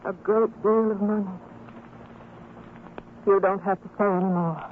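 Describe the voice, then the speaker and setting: A woman playing a worried, resigned mother with a measured, earnest manner, in an old radio drama. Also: medium pitch, steady tone, phone-quality sound, lightly acted. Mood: bitter, sorrowful. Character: weary, worried fisherman's wife and mother, bitter, resigned. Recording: thin, band-limited old radio recording, faint noise.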